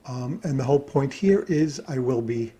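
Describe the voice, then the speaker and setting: A man's voice: medium pitch, moderate pace. A man giving a casual tutorial narration, thinking aloud as he works at his computer. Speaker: hobbyist presenter. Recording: steady background noise, keyboard clicks.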